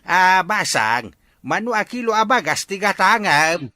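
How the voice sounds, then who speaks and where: A man playing a nosy uncle of the neighbourhood, inquisitive and animated, in a comic radio drama. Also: high-pitched, unhurried, no room sound, exaggerated voice. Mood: businesslike.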